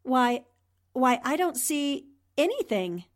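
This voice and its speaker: A woman's voice: high voice, sing-song, takes it slowly, heavily acted. A woman playing a level-headed, skeptical newspaper reporter in the style of 1940s radio drama. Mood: doubtful.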